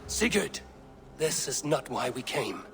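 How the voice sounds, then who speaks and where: Loyal Viking warrior for game drama: middle register, terse, protective, clear music bed. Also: male voice, medium pitch, very animated, a little slow. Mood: stern. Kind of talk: dramatic video game voice acting. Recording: music behind the voice.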